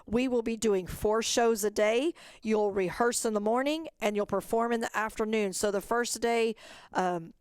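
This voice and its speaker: A woman's voice: on the high side, normal speed, darkish tone, clean tone, no room sound. A woman leads an informal instructional webinar. Her voice is clean and resonant in a dry setting, and she explains each step in plain terms. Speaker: competition organizer presenting a webinar.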